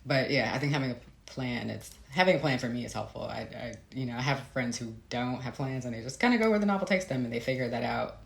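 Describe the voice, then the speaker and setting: An American woman talking casually and reflectively as an interviewed author, in an informal, halting way with frequent filler phrases. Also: rumbling low, creaky. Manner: informal, hesitant, laughs often, frequent "like" and "you know". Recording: roomy echo, faint background noise.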